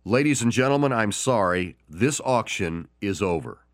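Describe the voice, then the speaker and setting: A man's voice: lowish voice, expressive, moderate pace, dry recording, natural voice. A man voicing an insistent male auctioneer calling out bids in a dry, close recording.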